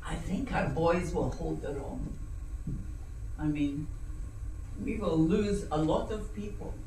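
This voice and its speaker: A woman playing an older mother, blunt and disapproving, who worries over her family. Her voice is picked up from far off in a very echoey room, with clear hiss underneath. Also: low voice, takes it slowly, warm tone. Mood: worried.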